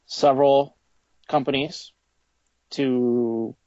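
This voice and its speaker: A man's voice: medium pitch, fairly even intonation, drawn-out words, mellow, no room sound, highs cut off. A man being interviewed about his toy company, talking in a casual, conversational way.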